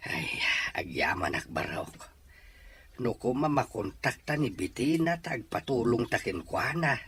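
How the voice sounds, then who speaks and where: Bright-voiced Filipino father, acted by a man, earnest, faint noise. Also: mid-range voice, very animated, measured pace. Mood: worried. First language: Ilocano. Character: worried widowed father, stern and plain-spoken. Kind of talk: Ilocano audio drama acting.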